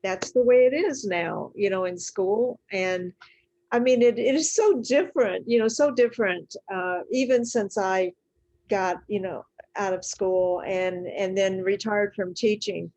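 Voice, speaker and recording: Older woman with a mid-pitched voice, chatting. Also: measured pace, darkish tone. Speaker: retired high school teacher, show participant. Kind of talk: casual chat.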